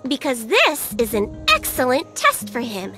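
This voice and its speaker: A woman's voice: high voice, huge pitch swings, measured pace, over music, exaggerated voice. A woman voicing a small, cheeky female fairy in a video game, blunt, flippant and teasing. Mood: callous, flippant. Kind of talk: video game cutscene acting.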